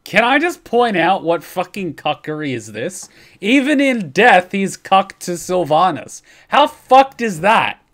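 An animated young male streamer with a somewhat high voice that swings widely in pitch. He reacts casually with sarcasm, exaggeration and plenty of swearing. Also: a little slow. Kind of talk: casual, profane reaction commentary.

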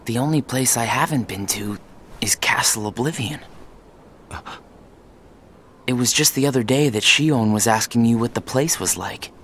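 A young man voicing an earnest, troubled teenage boy in a video game, speaking softly and haltingly. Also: medium pitch, slight background noise, lightly acted. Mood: subdued, wistful. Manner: hesitant, soft-spoken, halting. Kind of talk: dramatic video game voice acting. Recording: music under the voice.

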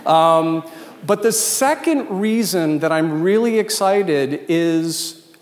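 An American man in his fifties with a notably high voice addresses a large, reverberant hall. He speaks as a keynote presenter, informal and conversational, dropping in jokes and emphatic asides. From Washington, D.C., United States. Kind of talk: informal after-dinner keynote talk. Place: large event hall with dinner tables, indoors. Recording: echoey hall with long reverb.

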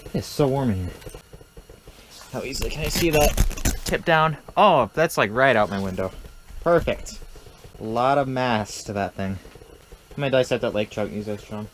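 A man's voice: mid-range voice, expressive, moderate pace, long pauses, fairly bright tone. Joking young man vlogging, noisy camera audio. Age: young adult. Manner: joking, playful. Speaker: outdoor vlogger. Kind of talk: casual vlog chat while ice fishing. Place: open frozen lake, later inside ice fishing tent. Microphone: action camera at arm's length or set nearby.

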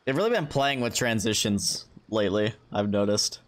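A man's voice: fairly low pitch, expressive, moderate pace, a little hiss or hum. A man livestreaming a video game, giving casual, offhand commentary and reading dialogue aloud. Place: indoors, streaming room. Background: game music.

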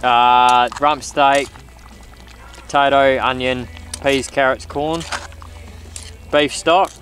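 An Australian man chatting casually with a mate at a night campfire, relaxed and swearing freely. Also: medium pitch, slow, far from the mic, faint music behind. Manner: laid-back, swears casually. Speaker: camping mate.

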